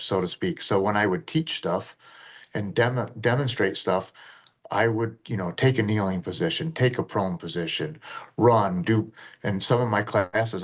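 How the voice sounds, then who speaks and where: A middle-aged man, a retired officer and deputy sheriff, telling his story in a plainspoken podcast interview with a low, fairly monotone voice. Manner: earnest, plainspoken storytelling with fillers.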